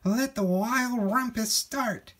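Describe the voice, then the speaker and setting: A man voicing a bold, mischievous little boy in a storybook, calling out loud commands. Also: very high voice, takes it slowly, voice pushed up, exaggerated voice. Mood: triumphant.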